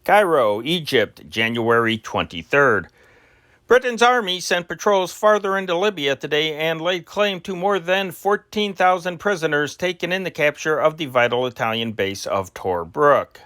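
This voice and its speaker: A man reading old newspaper reports aloud as an amateur newsreader, in a deliberate announcing manner with occasional stumbles. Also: a little high, animated, a bit bright, dry recording.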